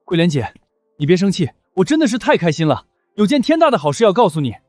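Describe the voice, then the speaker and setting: An actor playing an earnest, kind-hearted young village healer, scripted and sincere, tripping over words when flustered. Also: male voice, high-pitched, sing-song, quick pace, darkish tone, no echo, soft background music. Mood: excited, flustered. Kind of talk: scripted drama acting.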